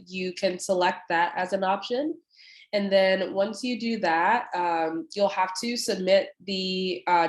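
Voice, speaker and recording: Young woman, stuffy nose, congested, low-ish voice, casual, friendly, filler words, echoey room, computer mic. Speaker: admissions representative. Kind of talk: walking through an online application on a screen share. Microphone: computer mic on a video call.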